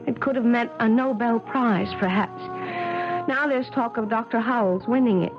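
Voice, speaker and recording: An actress as an intense, high-voiced embittered woman scientist. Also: moderate pace, natural voice. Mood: wounded, aggrieved. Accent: American. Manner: intense, theatrical, emphatic. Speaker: actor in a radio mystery drama. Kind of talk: 1970s American radio drama acting. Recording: dull 1970s radio broadcast, music behind.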